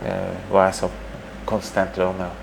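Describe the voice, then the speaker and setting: Male wine educator, French accent, low voice, modest and halting conversational delivery, audible background noise. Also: monotone, vocal fry, poor audio. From northeast France. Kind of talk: casual interview chat, telling anecdotes.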